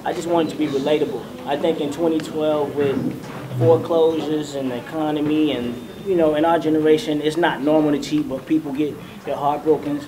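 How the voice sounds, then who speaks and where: A man with a soft, youthful voice talking casually on a panel as a songwriter, over background noise. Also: on the high side, fairly even intonation, fairly quick.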